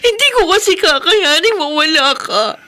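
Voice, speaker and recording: A desperate wife in a radio melodrama. Her voice is very high and piercingly bright, urgent and pleading with raw emotion. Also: animated, normal speed, heavily acted. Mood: tearful pleading. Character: distraught, pleading wife afraid of losing her husband. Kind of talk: melodramatic radio drama acting.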